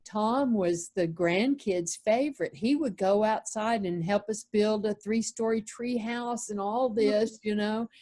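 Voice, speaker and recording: Woman with medium pitch, casually reminiscing. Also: a little slow, few pauses, warm tone. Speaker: playwright. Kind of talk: casual group discussion, reminiscing. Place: remote video call. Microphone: video call.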